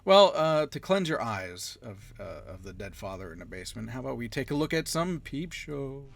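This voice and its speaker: A man hosts a casual podcast in a voice of ordinary, middling pitch. He rambles, circles back and corrects himself, often breaking into laughter. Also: big pitch swings, moderate pace, gravelly, slight background noise. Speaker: podcast host.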